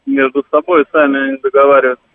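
A male caller to a radio show, halting and searching for words. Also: medium pitch, sing-song, no echo. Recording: phone line.

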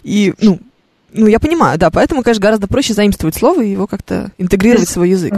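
A man hosting an interview, asking brisk questions and reading out viewer comments. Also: sits high, animated, darkish tone, no echo, studio quality. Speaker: host.

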